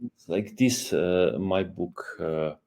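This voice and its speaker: Middle-aged man, slow and gravelly, halting answers with lots of fillers. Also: sits low, a little mellow. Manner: halting, searching for words, many fillers. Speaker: guest, profiling institute founder. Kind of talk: interview answers.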